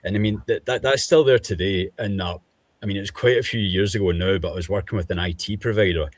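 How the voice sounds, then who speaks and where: Deep husky Scottish man. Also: no echo. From Scotland. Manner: measured, thoughtful, frequent ums. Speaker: panel guest. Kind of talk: online panel discussion.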